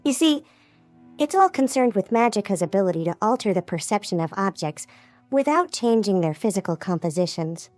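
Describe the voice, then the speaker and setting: A woman's voice: medium pitch, animated, brisk, darkish tone, soft background music, lightly acted. A woman playing a meek, innocent young sorceress who explains herself earnestly in a fantasy audiobook. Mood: earnest, defensive. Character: meek young female sorceress, innocent, softly defensive scholar. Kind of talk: fully voiced fantasy audiobook dialogue.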